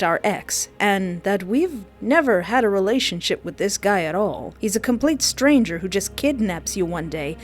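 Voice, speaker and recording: A woman video host giving scripted commentary with comic asides, leaning on single words with dramatic emphasis. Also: medium pitch. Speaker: video host, visual novel streamer. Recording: background music under voice. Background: music.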